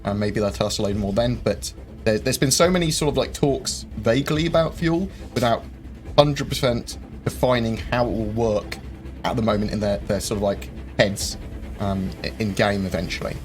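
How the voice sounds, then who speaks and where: A man hosting a live-stream Q&A, rambling through viewer questions with lots of ums and quick jokes. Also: fairly low pitch. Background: faint game music.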